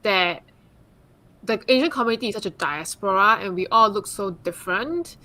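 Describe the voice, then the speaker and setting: Bright-voiced woman from Singapore. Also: mid-range voice, moderate pace, low-quality recording. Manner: earnest, frequent "like" and "um" fillers. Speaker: guest speaker, consultant and advocate. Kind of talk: livestream panel discussion.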